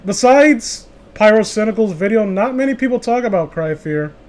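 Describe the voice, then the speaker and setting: A man with a high-pitched voice chats casually about games as a streamer. He rambles through his thoughts in loose, conversational phrasing and curses freely. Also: lively intonation, normal speed, a little mellow. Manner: profane, rambling. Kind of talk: casual gaming chat.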